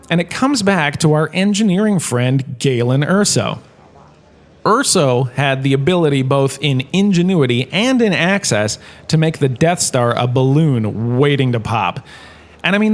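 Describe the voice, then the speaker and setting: An actor playing a chatty, self-important male professor in a comic audio drama lecture, with a theatrical, conversational manner. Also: a little high. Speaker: lecturer, host of the show.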